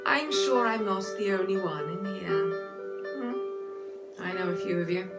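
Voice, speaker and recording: A woman's voice: mid-range voice, lively intonation, normal speed. A woman cabaret entertainer with a playfully genteel, rambling manner, performing comic music-hall songs and chatty patter. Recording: music mixed loudly with the voice. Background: loud musical accompaniment with guitar.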